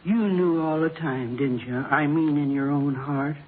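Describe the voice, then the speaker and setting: An actor playing a gentle, grieving accused husband in an American radio drama, halting and full of humble questions. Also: male voice, on the high side, narrow, tinny audio, close to an everyday voice. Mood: subdued, earnest. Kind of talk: 1950s radio drama acting. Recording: old band-limited radio broadcast, hissy.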